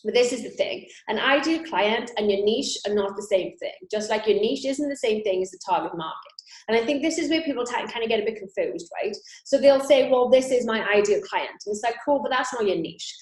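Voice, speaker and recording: A woman's voice: on the high side, a little fast, reverberant, poor audio. A woman in her thirties with a Welsh accent, giving a chatty, upbeat online sales training as a coach. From Wales. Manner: upbeat, chatty, direct to camera.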